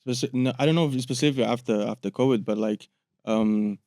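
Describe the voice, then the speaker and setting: A man's voice: mid-range voice, brisk, mellow, dry recording, studio quality. A man co-hosting a podcast, talking casually and conversationally. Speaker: podcast co-host.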